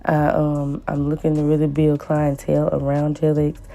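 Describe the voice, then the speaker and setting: A woman with a hoarse, raspy voice from the flu, chatting casually as she narrates a nail tutorial and repeats words for emphasis. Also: completely flat delivery, moderate pace. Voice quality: raspy and deep. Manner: repeats words for emphasis, warm pleading asides. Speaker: nail tech vlogger.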